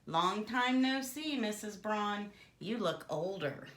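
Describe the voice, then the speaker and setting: A woman plays a rude, self-important pig from a children's storybook. He talks with theatrical pomp and very lively intonation. The room adds clear echo. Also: medium pitch, slow pace. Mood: snide. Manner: pompous, theatrical. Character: vain, rude male pig, snidely pompous.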